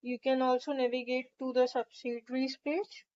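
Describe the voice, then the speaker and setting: A woman narrating a step-by-step software tutorial in a methodical, instructional way. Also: high-pitched, little pitch movement, normal speed, muffled recording.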